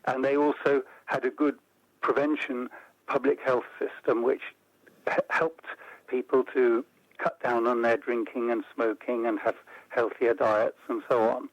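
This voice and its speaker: A man's voice: mid-range voice, level tone, no room sound. Man explaining on radio, muffled. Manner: measured, explanatory, builds lists. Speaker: interview guest, report author. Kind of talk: radio interview.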